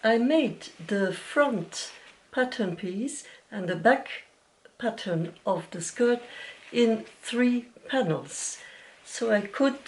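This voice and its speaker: A woman's voice: medium pitch, a little hiss or hum. A woman from Belgium, a native Dutch speaker with a Flemish accent, hosting a casual craft podcast in English and sometimes searching for words. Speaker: podcast host, piano teacher.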